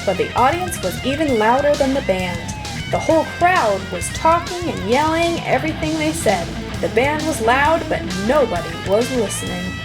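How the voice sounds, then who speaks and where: Female storybook reader, higher register, very animated swings, expressive emphasis, music underneath. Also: moderate pace. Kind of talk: animated read-aloud narration. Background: music with plucked guitar.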